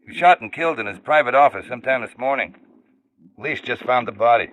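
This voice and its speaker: A man playing a brisk, grave business caller with urgent news in a 1950s radio detective drama. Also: medium pitch, lively intonation, close to an everyday voice. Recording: phone-like, faintly noisy old radio.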